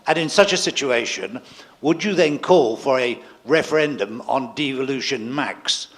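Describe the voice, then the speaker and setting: A man in the audience, an author, asking a pointed, formal question from the floor. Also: medium pitch.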